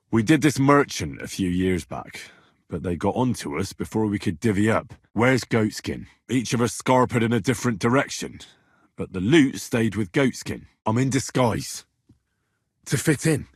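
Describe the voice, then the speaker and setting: A man's voice: lowish voice, slightly rough, no room sound, studio quality. A man playing an earnest, blunt, streetwise young adventurer in a medieval video game, speaking in plain, colloquial British phrasing. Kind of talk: video game dialogue voice acting.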